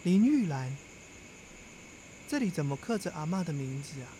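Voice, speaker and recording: A man's voice: fairly high pitch, big pitch swings, normal speed. A man playing a young university student, a sulky but caring grandson who mutters expressively to himself in an everyday radio drama. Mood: drowsy, musing. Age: young adult. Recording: music under the voice. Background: new-age music.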